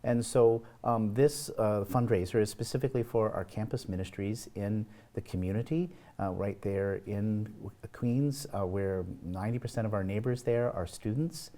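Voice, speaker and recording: A man's voice: lowish voice, normal speed, few pauses, dark tone. A man giving explanatory, somewhat hesitant interview answers as a church representative.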